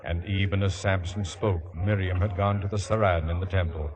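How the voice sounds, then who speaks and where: An actor playing a grave scriptural storyteller, narrating a 1950s radio drama in solemn biblical cadence. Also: male voice, deep voice, steady tone, normal speed, a little mellow, natural voice. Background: music.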